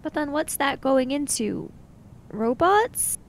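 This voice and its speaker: A woman's voice: sits high, sing-song, slow, slight background noise. A woman improvising in-character talk in a tabletop roleplaying game, with a questioning, skeptical manner.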